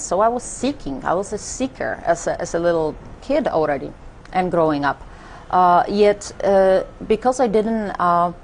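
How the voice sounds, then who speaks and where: Low-voiced woman with a Polish accent. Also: slight background noise. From Poland. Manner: hesitant, many fillers and restarts. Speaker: guest, spiritual teacher. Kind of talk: interview conversation.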